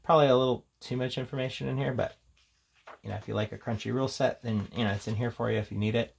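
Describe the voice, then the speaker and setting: A man's voice: medium pitch, fairly even intonation, quick pace, creaky, a little hiss or hum. A man giving a casual, unscripted, rambling commentary as a tabletop game reviewer, reading out headings between offhand remarks.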